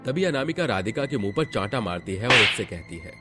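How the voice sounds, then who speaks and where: A man's voice: on the low side, sing-song, fairly quick, over loud music. A man reading a scripted moral story as its narrator.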